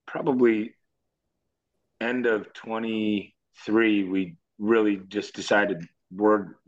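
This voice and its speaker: A low, somewhat deep male voice talks in a relaxed, meandering way, now and then chuckling. He is a musician guest in a casual interview. The sound is dull and lo-fi, like a remote recording. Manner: easygoing, rambling, chuckles. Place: Nashville.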